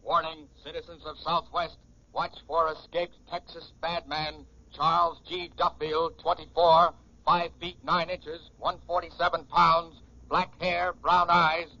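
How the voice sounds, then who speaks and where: Sharp, staccato male bulletin announcer. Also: fairly high pitch, flat delivery, a little slow. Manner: staccato, urgent. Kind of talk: clipped 1940s radio bulletin reading. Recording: muffled vintage radio recording.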